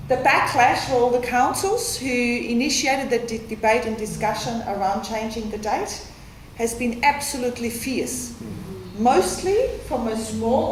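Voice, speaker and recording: A woman introducing the next speaker at a forum, in a conversational way with many fillers. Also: fairly high pitch. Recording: echoey room with clear background noise.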